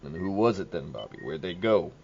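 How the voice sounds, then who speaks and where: A man playing a plainspoken small-town police officer in an audio horror drama, speaking in a measured way. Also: low-pitched, sing-song, creaky, darkish tone, heavily acted. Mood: gentle, concerned. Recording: dull lo-fi audio, faint noise.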